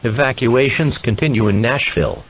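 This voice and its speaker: A man reading a scripted emergency broadcast announcement in a formal, measured manner, as an alert announcer. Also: medium pitch. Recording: muffled narrowband radio-like sound.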